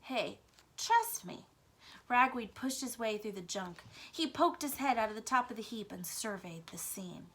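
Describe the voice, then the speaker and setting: A woman's voice: on the high side, lively intonation, normal speed, few pauses, a bit bright, slightly rough. A woman teacher reading a children's book aloud and explaining it to young pupils.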